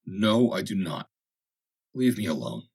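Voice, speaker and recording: A man's voice: low-pitched, big pitch swings, measured pace, creaky, darkish tone, slightly rough, exaggerated voice. A man reading an audiobook, playing a proud wounded young navy lieutenant who speaks with pained, agitated feeling. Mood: dazed irritation. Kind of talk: dramatic audiobook character voice.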